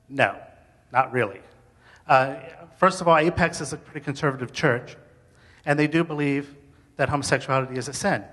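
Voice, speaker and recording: Male testimony speaker, bright forward voice, informal and halting delivery, reverberant hall. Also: mid-range voice. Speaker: speaker sharing faith story. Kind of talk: personal testimony told to an audience.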